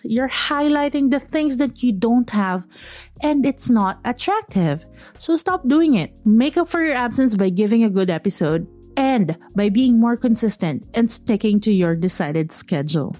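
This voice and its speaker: A woman's voice: fairly high pitch, very animated, moderate pace, darkish tone, faint music behind. A woman with a Filipino accent, a podcast host giving tips in a direct, teasing way, mixing English and Tagalog.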